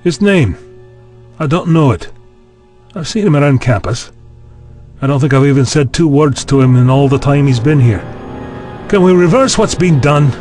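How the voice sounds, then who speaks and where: A man's voice: fairly high pitch, animated, normal speed, pauses often, a little mellow, soft background music, natural voice. A man playing a skeptical, impatient university chancellor in an audio drama, speaking bluntly and with exasperation. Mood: troubled.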